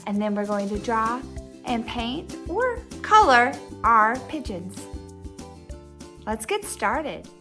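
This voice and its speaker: A woman's voice: fairly high pitch, sing-song, slow, a bit bright, faint music behind. A woman art teacher introducing a lesson for young children.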